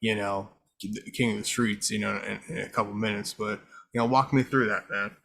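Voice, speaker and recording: A man's voice: lowish voice, steady tone, fairly quick, vocal fry, bright, forceful tone. A man hosting a casual interview, prompting his guest in loose, informal phrases. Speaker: podcast host, interviewer.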